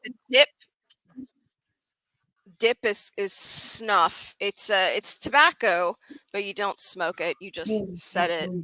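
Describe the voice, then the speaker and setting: A woman talks casually on a live stream panel. Her voice is bright and crisp-edged at an ordinary pitch, recorded dry and close. She explains things plainly and bluntly. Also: takes it slowly, long pauses, no echo, low-quality recording. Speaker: watchalong panel guest.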